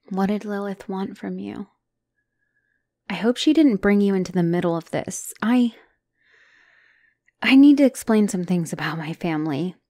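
A woman's voice: medium pitch, dark tone, no room sound, natural voice. A woman playing a loving, anxious spider-woman fiancée in an ASMR roleplay, speaking tenderly and hesitantly. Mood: worried. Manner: tender, hesitant, often dropping to whisper.

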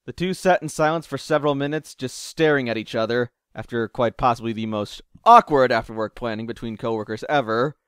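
Man, story narrator, mid-pitched voice, scripted audio drama reading, dry recording. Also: a little fast, few pauses, fairly bright tone, clear, resonant tone, no echo.

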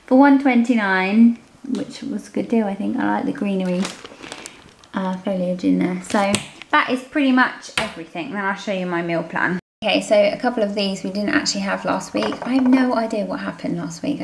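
A woman's voice: mid-range voice, gravelly. A woman with a British accent chatting informally through a grocery haul as a family vlogger, filming at home on a handheld camera. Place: indoor room at home. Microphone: handheld camera microphone. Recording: roomy, noisy home camera recording.

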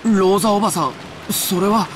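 A man's voice: high voice, moderate pace, lightly acted. A man playing an earnest, polite young man in an anime visual novel. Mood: startled. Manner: polite, careful. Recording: rain noise behind.

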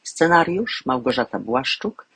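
A man's voice: mid-range voice, big pitch swings, unhurried, sharp tone, breathy edge. A man reading scripted closing credits as the announcer of an audio drama.

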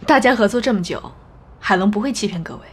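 A woman's voice: medium pitch, lively intonation, fast talker, breathy edge. A woman playing a composed, diplomatic executive in an audio drama, polished and placating. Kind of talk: Chinese audio drama acting.

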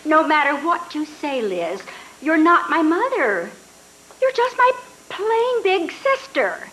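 Brash, fame-hungry young sister. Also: female voice, high-pitched, slow pace, over music, heavily acted, low-quality recording. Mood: defiant. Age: older. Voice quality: lively, defiant, flippant. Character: brash young woman dreaming of stardom. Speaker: reader's theatre actress. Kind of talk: live radio drama reading. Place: hotel ballroom stage with audience. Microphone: stand mic on stage.

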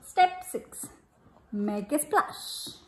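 Woman, high voice, unhurried and expressive storybook reader for young children, roomy home recording. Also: background noise. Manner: deliberate, expressive, prompting the child with questions. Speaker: picture-book reader to a child. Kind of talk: storybook read-aloud with chat to a child. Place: room at home, at a glass table. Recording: echoey room.

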